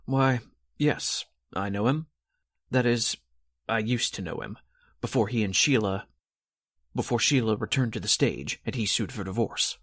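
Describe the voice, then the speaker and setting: A man playing an earnest, indignant theatrical producer in a 1940s-style radio drama, formal and husky. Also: fairly low pitch, measured pace, a bit bright, full character voice. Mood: uneasy.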